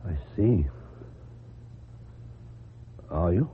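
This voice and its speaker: A man's voice: low-pitched, lively intonation, very slow, heavily acted. A man acting in a radio drama as an earnest, devoted lover who looks back on his past, tender and hesitant. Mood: tender, reassuring. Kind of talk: radio drama acting and first-person narration. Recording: muffled narrow-band old radio recording, clear noise.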